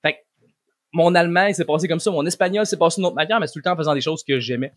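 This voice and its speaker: A man's voice: a little high, very fast, no room sound, clean studio sound. A man with a Québécois French accent, a guest language coach explaining things casually and in slangy, informal words. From Quebec, Canada.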